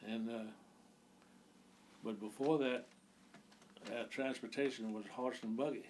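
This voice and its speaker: A man's voice: medium pitch, steady tone, unhurried, darkish tone, a little hiss or hum. An eighty-year-old man from Virginia, recalling his family's farm life in an oral history interview. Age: 80s.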